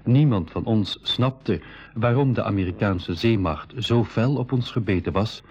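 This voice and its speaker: Eager young adventurer, muffled recording. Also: male voice, lowish voice, a little mellow, slightly rough. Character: young adventurer nephew, eager, recounting and arguing. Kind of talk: 1980s school radio drama, narration and dialogue. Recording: dull, muffled radio play recording.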